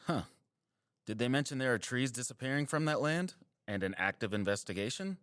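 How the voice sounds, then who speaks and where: An actor playing an earnest, caring male wildlife surveyor in an audio drama, casual, sincere and expressive. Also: medium pitch, brisk, no room sound, clean studio sound, close to an everyday voice. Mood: concerned, curious. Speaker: habitat surveyor.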